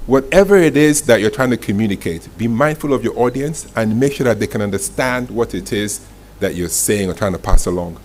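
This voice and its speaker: Male masterclass speaker, emphatic and deliberate, mid-range voice, live event room with clear noise behind him. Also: mid-range voice, darkish tone. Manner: emphatic, deliberate, repeats key phrases for effect. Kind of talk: live motivational presentation to an audience. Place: indoor event room with audience.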